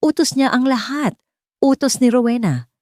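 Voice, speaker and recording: Lady doing panicked driver guy in a melodrama, frantic, blurting, pitched way up. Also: lively intonation, moderate pace, mellow, no room sound, clean studio sound. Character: panicked male driver caught as accomplice, pleading innocence.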